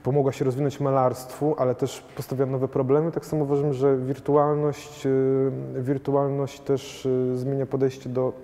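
30s guy, mellow voice, thinking out loud with lots of ums, big echoey gallery hall. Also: medium pitch, level tone. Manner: thoughtful, halting, many fillers and restarts. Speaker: painter. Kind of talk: gallery interview. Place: large gallery hall with paintings. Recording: long hall reverb, faint noise.

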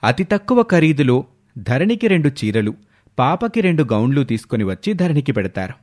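A man's voice: mid-range voice, sing-song, normal speed, darkish tone. A man narrating a scripted story as the narrator.

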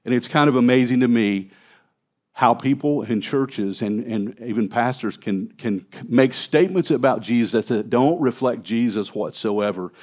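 A man's voice: medium pitch, normal speed. A man in his fifties, a pastor preaching an expository sermon in a conversational way from a church stage, on a headset mic. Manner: conversational preaching, animated gestures at a lectern. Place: church stage, large indoor hall.

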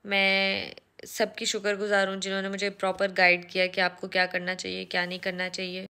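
Young woman, casual vlog, bright tone, flat intonation, explanatory, close phone mic, small room. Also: mid-range voice, fairly quick, clear, resonant tone. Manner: chatty, explanatory, peppered with English words. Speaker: vlogger and restaurant owner. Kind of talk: casual talking-head vlog. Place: small indoor room at home. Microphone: phone camera at close range.

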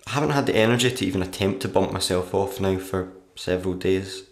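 A man acting in a radio play as a weary, morbidly deadpan struggling writer reading out his diary. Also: low-pitched, quick pace, reverberant, a little hiss or hum. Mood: dry, weary. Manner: deadpan, dry.

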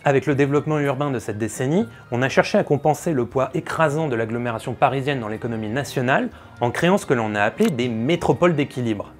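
A young man with a French accent and a mid-pitched voice delivers a scripted video lesson to camera. His manner is didactic, with some playful, colloquial asides. He is close-miked on a lapel mic, with music playing behind him. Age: young adult. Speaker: presenter of an educational video. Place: small indoor room. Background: background music.

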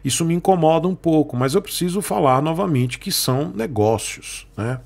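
A man's voice: fairly high pitch, expressive, moderate pace, gravelly, a little mellow. A man, hosting his motorcycle channel and explaining market news in a chatty way, often checking in with his viewers, from a small indoor room at a desk. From Northeast Brazil. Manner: chatty, explanatory, frequent "tá, gente?" asides. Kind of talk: casual market commentary.

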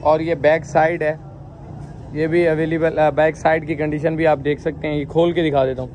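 A man in his thirties walking around a used car market outdoors, showing cars and chatting with owners in brisk, repetitive patter. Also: a little high, little pitch movement, noisy background. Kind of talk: walkaround car showcase with owner chats.

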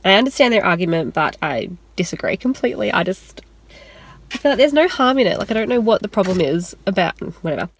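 A woman's voice: medium pitch, sing-song, quick pace, husky, rough recording. A young Australian woman chatting casually in a parked car, rambling with lots of fillers and restarts as she shows her shopping finds. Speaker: lifestyle vlogger. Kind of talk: casual chat. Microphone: camera on the dashboard.

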